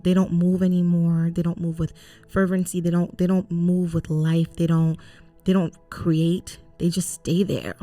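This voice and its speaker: Young woman from cameroon, raised in canada, low voice, preachy and emphatic, faint music behind. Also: level tone, a little slow, dark tone. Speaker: co-host. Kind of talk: casual faith podcast chat.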